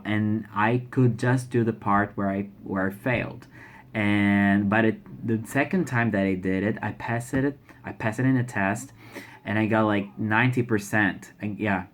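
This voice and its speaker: A young man from Brazil with a Brazilian Portuguese accent, speaking English as a second language in a casual vlog, often restarting and repeating words. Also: fairly low pitch. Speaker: language learner sharing exam experience. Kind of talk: casual vlog talk to camera.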